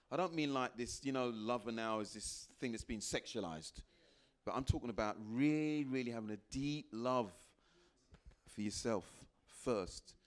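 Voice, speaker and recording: Animated middle-aged man coaching. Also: medium pitch. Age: 50s. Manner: animated, quotes people in put-on voices, asks the crowd questions. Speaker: life coach. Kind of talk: motivational talk to a live audience.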